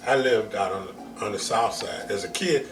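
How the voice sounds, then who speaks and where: An older man from Chicago, recalling his neighborhood for his grandson in a slow, halting, casual interview. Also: fairly low pitch, background noise. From Chicago, United States. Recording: roomy, voice from far off, faint music.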